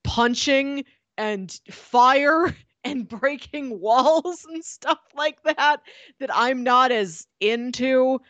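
Bright-voiced woman, animated retelling. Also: sits high, drawn-out words, no echo. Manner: animated retelling with frequent laughs. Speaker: podcast co-host. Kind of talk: casual book-club chat.

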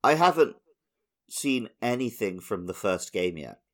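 Low-voiced man chatting casually. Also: very animated, measured pace. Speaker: podcast host. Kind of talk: casual chat, anecdotes.

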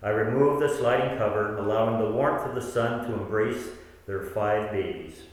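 A man's voice: lowish voice, normal speed, lightly acted. A man playing a devoted 58-year-old who narrates his own story in a radio play. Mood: reflective. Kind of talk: scripted first-person radio play narration. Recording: distant, echoey hall with long reverb.

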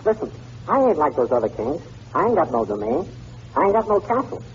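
A man's voice: fairly high pitch, sing-song, brisk. An actor playing a short, brash, uncouth lover king in a 1940s American radio comedy, slangy and cocky. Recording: very noisy, dull old radio recording.

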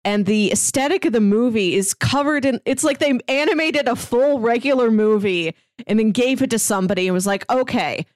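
A woman's voice: fairly high pitch, fairly quick, hardly any pauses, a little mellow, no echo. A woman co-hosting a podcast, talking casually as she reviews movies. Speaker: podcast co-host.

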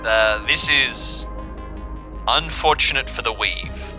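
A man voicing a cryptic, formal stranger in an audio drama, speaking in slow, measured phrases. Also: medium pitch, music in the background, natural voice. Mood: ominous, formal. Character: cryptic, formal mysterious contact speaking over a crackly radio.

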